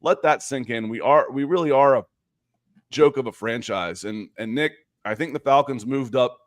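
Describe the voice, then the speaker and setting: A man's voice: mid-range voice, moderate pace, dry recording, clean studio sound. A man in his fifties hosting a casual live sports podcast, chatting conversationally with frequent asides. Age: 50s. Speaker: podcast host.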